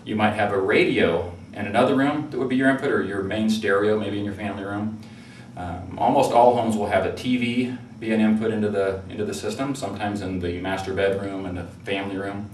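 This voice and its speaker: A man with a fairly low voice walks through a product in an informal, conversational way, sometimes hesitating over his phrasing. He sounds set back from the microphone in an echoey room, with faint noise behind him. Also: fairly even intonation, fairly quick. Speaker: product presenter. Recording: roomy, distant mic with faint noise.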